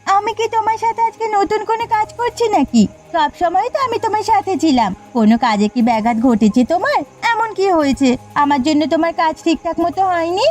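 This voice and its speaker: A woman's voice: very high-pitched, sing-song, fast talker, few pauses, a little mellow. A woman voicing a spiteful wife who goads her husband toward violence in a cartoon. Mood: scornful. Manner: goading, vicious. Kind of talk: cartoon voice acting.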